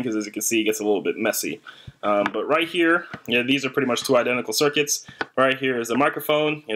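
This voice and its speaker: A man's voice: on the low side, fairly bright tone. A young man giving a casual, informal tutorial walkthrough as a hobbyist demonstrator, a little hesitant as he goes. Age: young adult. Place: small indoor room at a desk. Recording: faint background noise, clicks.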